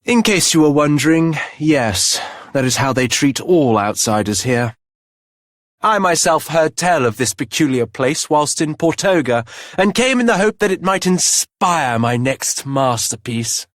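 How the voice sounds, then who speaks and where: A man's voice: fairly high pitch, animated, a little slow, fairly bright tone, no echo, studio quality. A man voicing a flamboyant, wistful travelling poet in a video game, florid and theatrical. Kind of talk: video game character voice acting.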